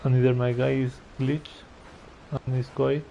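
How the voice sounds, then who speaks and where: Casting: casual gameplay commentator, unhurried, warm dark tone, light music behind. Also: mid-range voice, level tone, creaky, muffled recording, a little hiss or hum. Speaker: game streamer.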